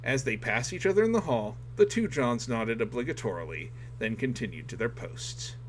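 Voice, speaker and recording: Man reading dramatically over clear background noise. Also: mid-range voice, animated, moderate pace. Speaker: audiobook reader. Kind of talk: dramatic scripted narration.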